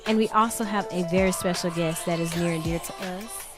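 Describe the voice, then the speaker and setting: A woman hosting a casual talk show in a lively, welcoming way, a little under the weather. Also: on the low side, fairly quick. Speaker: host. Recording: music playing behind.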